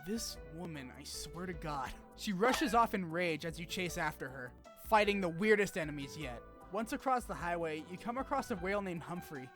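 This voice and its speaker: A man's voice: high-pitched, animated, normal speed, few pauses, a bit bright, soft background music. A man narrating a scripted but casual game review, with informal wry asides and some swearing. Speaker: video essay narrator.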